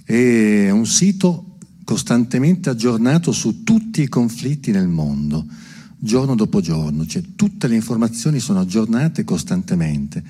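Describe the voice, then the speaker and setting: Guy hosting an event, low husky voice, kinda dark, introducing stuff informally. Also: big pitch swings, a little slow. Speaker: event presenter.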